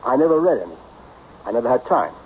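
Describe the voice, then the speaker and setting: Old radio drama: male actor as self-made tycoon, brooding, blunt, self-pitying, very animated pitch, dull broadcast sound. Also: fast, slight background noise, natural voice. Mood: bitter, dejected. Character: lonely self-made millionaire businessman, melancholy, brusque, self-pitying.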